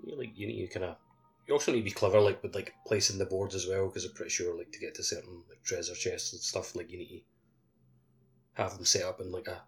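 Deep-voiced man, casual chat. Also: fairly even intonation, fast talker, soft background music. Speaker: podcast co-host. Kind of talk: casual video game podcast chat.

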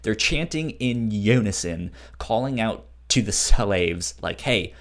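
A man's voice: lowish voice, expressive, slow. A man co-hosting a podcast, discussing a book casually, with a frequent stutter that repeats sounds and syllables. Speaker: podcast co-host.

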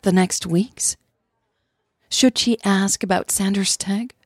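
A woman with a husky voice reading scripted story narration for an audio drama. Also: medium pitch, steady tone, slow, no echo, clean studio sound.

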